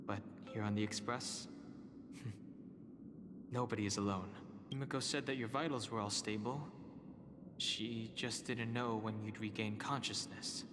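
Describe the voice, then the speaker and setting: A man playing a reserved, quietly reassuring exiled traveller in a video game, restrained and understated. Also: on the low side, steady tone, unhurried, long pauses, lightly acted. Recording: reverberant, with clear noisy ambience.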